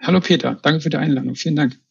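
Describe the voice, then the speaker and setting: A man's voice: on the high side, rapid-fire, creaky, lo-fi sound. A man from the Berlin area answering interview questions in a casual, informal way, often repeating words. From Berlin area, Germany. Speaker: interview guest, customer experience manager.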